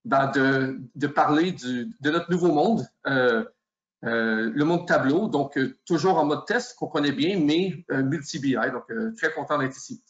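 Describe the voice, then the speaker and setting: A man's voice: medium pitch, a little slow. A man with a Canadian French accent presenting on a webinar, hesitant and laughing, with many fillers, heard over a video call. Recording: dull lo-fi call audio.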